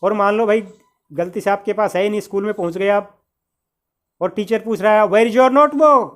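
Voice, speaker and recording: A man's voice: high-pitched, moderate pace, a bit bright. A man from Haryana, teaching beginner spoken English. He patiently repeats each phrase and calls his listeners "brother".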